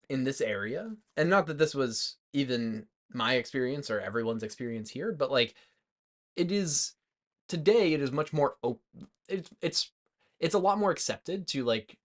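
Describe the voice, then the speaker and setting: Casual man, loose and hesitant. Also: medium pitch. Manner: hesitant, loosely phrased, frequent "like". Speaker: podcast co-host. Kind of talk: casual movie discussion.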